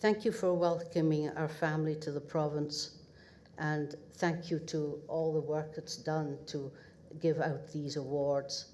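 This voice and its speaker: Older scottish lady from the northeast, slow halting award speech, dry wit, faint hiss behind. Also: low-pitched, fairly even intonation, dark tone. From northeast Scotland. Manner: halting, with pauses and fillers, wry humour. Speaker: award recipient. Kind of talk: acceptance speech. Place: ceremony hall at a podium.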